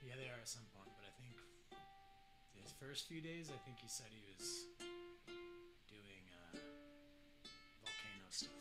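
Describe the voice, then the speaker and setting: A man's voice: lowish voice, very animated, a little slow, pauses often, over loud music, poor audio. A man in his late twenties chatting casually during a tabletop game, rambling and cursing as he laughs along. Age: late 20s. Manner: rambling, profane, laughs often. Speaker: player. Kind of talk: casual chat.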